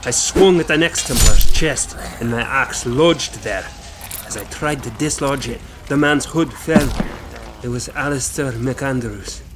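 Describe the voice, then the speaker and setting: A man's voice: medium pitch, unhurried, background noise, lightly acted. An actor playing a grim man who recounts his violent boyhood in a solemn, bitter manner for an audio drama. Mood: grim intensity. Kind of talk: first-person dramatic storytelling in an audio drama.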